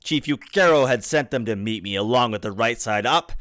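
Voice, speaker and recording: A man reading a rhyming children's story aloud with expressive, theatrical phrasing, like a father to his kids. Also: medium pitch, brisk, dry recording, clean studio sound. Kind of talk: storybook read-aloud in rhyme. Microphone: USB desktop microphone.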